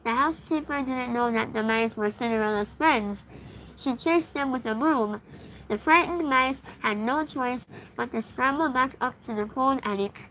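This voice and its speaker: Woman, high-pitched, children's story read-aloud, host and reader, noisy recording. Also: moderate pace, a bit bright. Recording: clearly noisy background.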